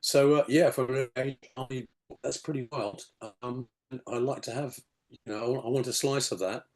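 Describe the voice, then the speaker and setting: A man in his sixties with a gravelly, slightly hoarse voice, rambling through his story on a video call with many "you know"s. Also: medium pitch, fairly even intonation, moderate pace. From England. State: hoarse after a detox. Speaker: podcast guest. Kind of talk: casual storytelling interview.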